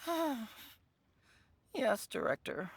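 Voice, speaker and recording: A woman's voice: high voice, very animated, very slow, slightly rough, no echo, heavily acted. A woman playing a hesitant, long-suffering funeral parlor employee in a video game, deferential and resigned. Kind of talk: video game character acting.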